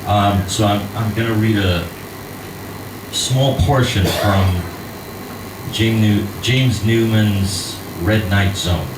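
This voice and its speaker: A man, an actor by trade, stands up to read fiction to a live audience in a cabaret bar. He talks slowly and a little hesitantly, then settles into a dry, wry reading. The sound is roomy and distant, with plenty of bar ambience. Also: fairly low pitch, noisy background. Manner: hesitant, self-deprecating chat before a measured, wry reading.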